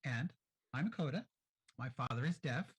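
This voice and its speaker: A man with a husky voice speaks on a discussion panel. He introduces himself in a friendly, straightforward way. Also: medium pitch, expressive, moderate pace.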